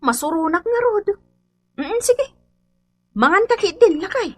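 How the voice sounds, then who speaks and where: A woman acting a doting, chatty Filipino wife in a radio comedy drama, expressive and coaxing. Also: very high voice, a little slow, noisy background. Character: doting, chatty wife serving her husband food.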